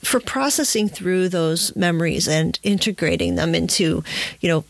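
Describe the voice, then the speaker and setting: A woman's voice: lowish voice, normal speed, vocal fry, dry recording. A woman interviewing as a podcast host, conversational, feeling her way through her phrasing.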